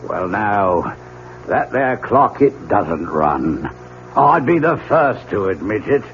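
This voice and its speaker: A man's voice: lowish voice, big pitch swings, slow. An actor playing a down-and-out sailor peddling his wares in old-time radio drama, ingratiating and folksy. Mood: wheedling. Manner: ingratiating, folksy, pleading street talk. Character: down-and-out wheedling sailor peddling a strange clock. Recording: dull, noisy old radio recording.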